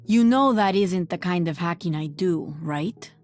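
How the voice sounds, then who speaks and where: An actress playing a sly, smug young hacker with a Mexican accent and a teasing, self-assured manner. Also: female voice, fairly low pitch, expressive, measured pace, darkish tone, soft background music.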